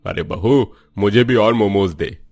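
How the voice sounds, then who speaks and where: Man voicing a husky, low, blustery old father-in-law. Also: measured pace. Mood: greedy, demanding. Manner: blustery, demanding. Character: gluttonous, demanding older Indian father-in-law.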